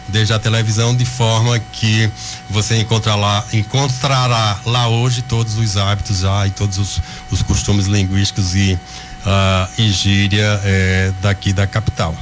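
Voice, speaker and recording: Measured Brazilian man, radio guest. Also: on the low side, level tone, over music. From Pernambuco sertão, Brazil. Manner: thoughtful, measured, slightly professorial. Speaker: guest writer and linguist. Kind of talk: radio interview.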